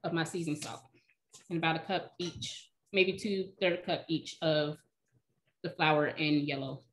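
A woman in her thirties answering cooking questions in a relaxed, chatty way on a video call from her home kitchen. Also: low-pitched, measured pace, low-quality recording. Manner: relaxed, chatty, explains by eye. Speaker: cooking demonstrator, recipe blogger. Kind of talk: casual live Q&A. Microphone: video call, webcam.

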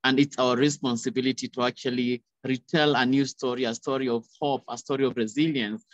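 A man's voice: mid-range voice, fairly even intonation, brisk. A young man giving earnest, impassioned remarks as a panel speaker on a video call, talking into a phone. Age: young adult. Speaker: youth activist, panel speaker. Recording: roomy, dull video-call audio.

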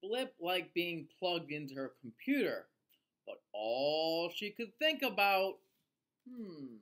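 A man reads a picture book to young children. His pitch swings widely and he takes his time, stretching words out very slowly. The delivery is exaggerated and teacherly. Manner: animated, exaggerated, drawn-out delivery with gasps. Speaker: teacher reading aloud to children. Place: small indoor room, seated facing camera.

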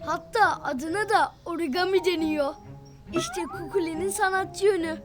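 A woman voicing a small, kind-hearted, eager young cartoon animal in an expressive, childlike way for a children's show. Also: very high voice, moderate pace. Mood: excited, chatty. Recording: music behind the voice. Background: cartoon music.

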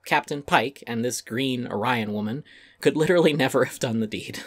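A man narrates an essay in a dramatic reading, with a medium-pitched voice. He is articulate and emphatic, with a wry edge. The sound is dry and close to the mic. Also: normal speed, fairly bright tone, dry recording.

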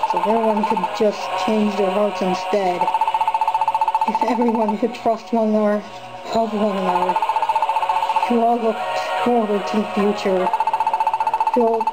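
A woman's voice: mid-range voice, steady tone, measured pace, pauses often, very noisy, voice pushed down, close to an everyday voice. A woman voicing an earnest, determined young creature hero from a video game, urging friends on. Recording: loud game music over the voice.

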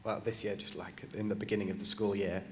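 A man's voice: lowish voice, level tone, quick pace, darkish tone. A teenage male student on a conference panel, explaining things casually with many basicallys and likes into a handheld mic. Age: teens. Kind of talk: panel conversation answers. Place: large conference stage. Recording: reverberant conference hall.

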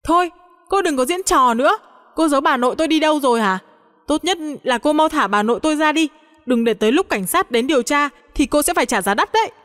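A woman narrator puts on a suspicious young grandson, high-pitched and urgent. He throws out hot-headed accusations, in a reverberant audio story. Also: quick pace, fairly bright tone. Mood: angry, suspicious. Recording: long hall-like reverb on the voice.

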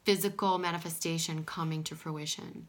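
A woman giving a casual oracle card reading in a small room at home, her voice a little scratchy from pollen, soft-spoken and sometimes dropping to a whisper. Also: deep voice, steady tone, normal speed. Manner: soft-spoken, drifting into whispers, frequent "okay?". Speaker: spiritual card reader.

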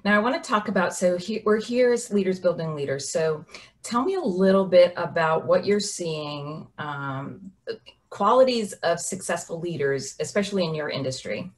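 A woman's voice: lowish voice, expressive, moderate pace. A woman in her forties hosting an interview over a video call from a home office, reading prepared remarks in a polished, upbeat way. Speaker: host. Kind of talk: scripted introduction and interview.

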